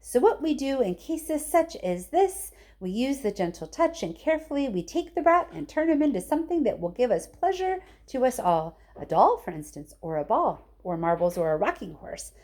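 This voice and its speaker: A woman's voice: sits high, very animated, moderate pace, few pauses, darkish tone. A woman voicing tiny, mocking, moralizing factory workers who chant in sing-song rhyme for a storybook. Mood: scornful.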